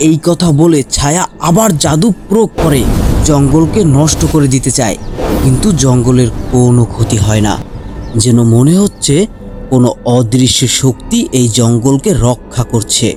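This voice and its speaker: Male narrator, scripted fairy tale storytelling, medium pitch, clear music bed. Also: moderate pace.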